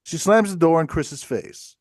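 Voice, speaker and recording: A man narrates stage directions from a script. His voice is a little high for a man, and the sound is close and dry. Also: unhurried, a little mellow, no room sound. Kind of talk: scripted narration at a table read.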